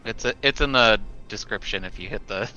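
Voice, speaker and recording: A man's voice: lowish voice, fairly quick, bright, forceful tone. A man playing a tabletop game, talking casually and matter-of-factly as he thinks aloud. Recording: dull lo-fi mic, faint music behind.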